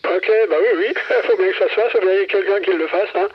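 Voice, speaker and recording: High-voiced older man on muffled CB radio. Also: quick pace, background noise. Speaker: CB radio operator. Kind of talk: casual chat.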